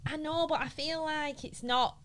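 A woman co-hosting a podcast, adding quick teasing asides. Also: high voice, fairly even intonation, fairly bright tone. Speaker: podcast co-host. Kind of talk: casual chat.

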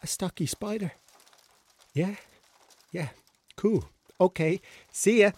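A woman playing a blunt, sweary, hungover young character in a radio drama, halting and exasperated as nerves take over. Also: fairly low pitch, darkish tone, husky, no room sound, exaggerated voice. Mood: nervous disbelief. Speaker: actor in an audio drama. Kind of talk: naturalistic radio drama acting.

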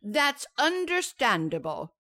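An actress playing a polite, businesslike hospital desk nurse in an old-time radio sitcom. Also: female voice, sits high, sing-song, slow pace, sharp tone, no echo, exaggerated voice. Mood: patient. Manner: polite, composed.

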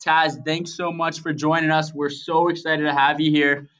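A man's voice: fairly high pitch, little pitch movement, moderate pace, a bit bright, lo-fi sound. A man hosting a podcast interview, asking questions with enthusiasm and many casual fillers. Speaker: podcast host.

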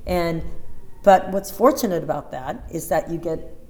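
A woman in her fifties, a dancer, chatting in an interview and telling animated stories with many restarts and asides. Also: fairly low pitch, moderate pace. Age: 50s. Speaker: interview guest, Broadway dancer. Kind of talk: casual interview chat.

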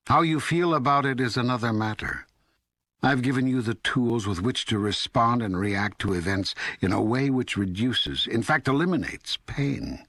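A man playing God in an audiobook dialogue, speaking as a patient, all-knowing deity in a measured, authoritative, teaching way. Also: medium pitch, fairly bright tone, slightly rough, no echo, studio quality, full character voice. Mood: firm, patient. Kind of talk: scripted audiobook dialogue reading.

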